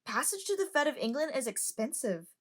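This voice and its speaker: A woman's voice: high voice, big pitch swings, fairly quick, a bit bright. A woman in an amateur audio drama playing a curious, outspoken young passenger who chats and lectures. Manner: chatty, lecturing.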